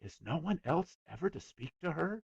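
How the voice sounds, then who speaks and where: A man's voice: a little high, very animated, moderate pace, gravelly, slightly rough, no room sound, dull audio, voice pushed up, exaggerated voice. A man voicing a stubborn, boastful small boy who chatters eagerly. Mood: indignant whisper.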